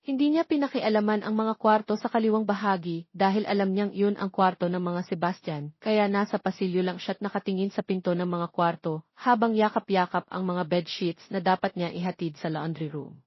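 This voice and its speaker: A woman's voice: medium pitch, steady tone, moderate pace, no room sound. A woman with a Filipino accent reading a scripted romance story as its narrator. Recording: slightly dull lo-fi sound.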